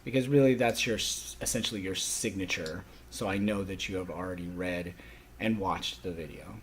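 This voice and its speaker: A man giving a spoken course orientation for a class, in halting stop-and-start phrases with stressed openings. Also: sits low, slight background noise.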